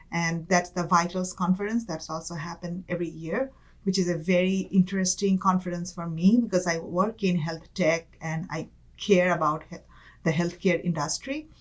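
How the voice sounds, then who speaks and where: A young woman from Bangladesh, a guest in an interview, talking casually with many fillers and soft laughs. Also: on the low side, steady tone, normal speed. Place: campus studio.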